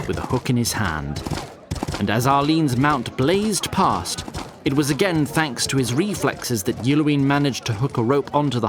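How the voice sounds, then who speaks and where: A man's voice: mid-range voice, noisy background. A man narrating a scripted fantasy audio drama in a storyteller's tone with wry asides. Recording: loud music behind the voice.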